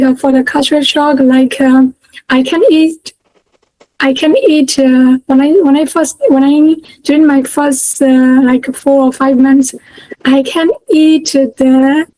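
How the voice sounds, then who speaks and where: A woman's voice: high voice, level tone, slow, warm tone, dry recording, low-quality recording. A woman in her thirties from Myanmar with a Burmese accent, answering interview questions hesitantly with many fillers and restarts over a video call. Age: 30s. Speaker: guest. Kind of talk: casual interview.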